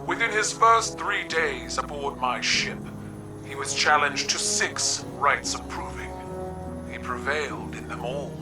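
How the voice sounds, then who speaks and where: A man's voice: fairly high pitch, measured pace, recorded from a distance, over music, natural voice. An actor with a male-sounding voice playing a proud, regal alien envoy, ceremonious and formal, in a game cutscene. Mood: proud, pleased. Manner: ceremonious, stately. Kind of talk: dramatic game cutscene acting.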